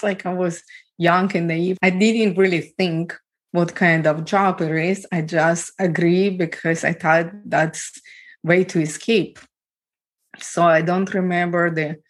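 A woman from Ukraine speaking English with a Ukrainian accent and small grammar slips, telling her life story as an interview guest in a steady, matter-of-fact way. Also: on the low side. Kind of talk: personal testimony in an interview.

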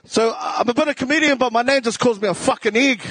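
A man's voice: very high-pitched, quick pace, a bit bright, no room sound. A man with a South Auckland New Zealand accent telling stories casually on stage as a comedian, joking and self-deprecating in slangy speech. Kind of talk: casual comedic live storytelling.

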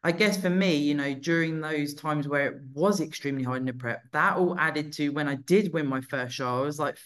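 A podcast host chatting casually with a guest, loose and relaxed, with the odd swear word. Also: mid-range voice, fairly quick. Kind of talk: casual conversational interview.

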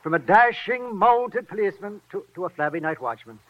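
Vintage radio drama: folksy aging Irishman, high-pitched watchman, wistful, muffled recording. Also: animated, brisk. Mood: wistful, hearty. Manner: folksy, exclamatory, repeats his phrases. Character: aging, wistful male ex-mounted policeman, now flabby night watchman. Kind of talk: 1940s radio acting.

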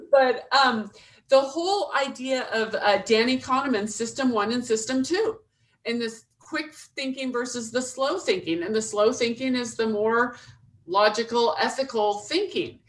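A woman hosting an interview, lively and self-deprecating, laughing easily between questions. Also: on the high side, measured pace, far from the mic. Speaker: podcast host. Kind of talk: casual interview talk.

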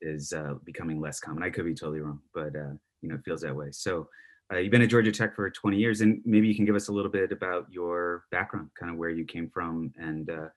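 A man hosting an interview in a conversational way, rambling with many fillers and restarts and trailing off quietly. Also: low voice, flat delivery, quick pace. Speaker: podcast host.

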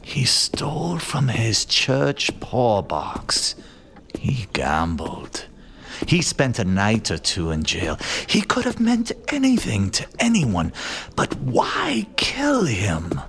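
Pompous detective with wildly swooping delivery. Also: male voice, medium pitch, wildly swooping pitch, vocal fry, exaggerated voice. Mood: pondering, dramatic. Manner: theatrical, haughty, deliberate, given to hushed musing. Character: pompous, theatrical male master detective, aloof and self-assured. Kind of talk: comic period murder-mystery audio drama acting.

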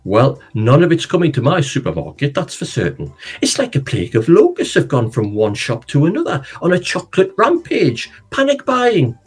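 A man voicing a frazzled, fed-up mum who rants in quick bursts. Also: on the high side, wildly swooping pitch, breathy edge, faint music behind, voice pushed up, full character voice. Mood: exasperated. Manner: exasperated, rapid rants.

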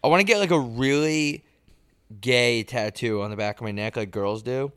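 A man's voice: medium pitch, moderate pace, fairly bright tone, clear, resonant tone, dry recording, clean studio sound. A young man co-hosting a podcast with crude, joking banter and a slightly congested voice. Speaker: podcast co-host. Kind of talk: casual chat.

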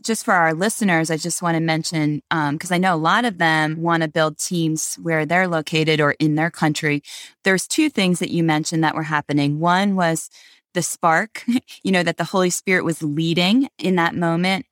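A woman's voice: sits low, fairly even intonation, moderate pace, no echo, studio quality. A woman hosting a podcast interview, friendly and encouraging as she asks questions. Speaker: podcast host.

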